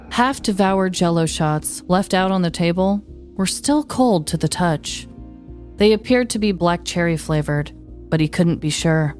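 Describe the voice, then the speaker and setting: A woman's voice: lowish voice, a little mellow. A young woman co-hosting a comedy podcast, talking in a playful, exaggerating way. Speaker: podcast co-host. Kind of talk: casual comedic banter and dramatized true-crime storytelling.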